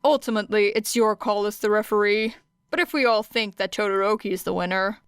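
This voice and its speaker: A tired, guarded male teacher in an audiobook, voiced by a woman. The lines come out terse and muttered, in a clear tone. The sound is close and dry. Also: mid-range voice, steady tone, fairly quick, no room sound, lightly acted. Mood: conceding. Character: gruff, weary, guarded adult male teacher.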